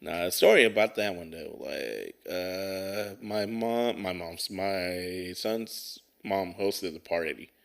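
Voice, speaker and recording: Bright, slangy man chatting on a podcast. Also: deep voice, slow, vocal fry, few pauses. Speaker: podcast co-host. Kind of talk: casual podcast chat.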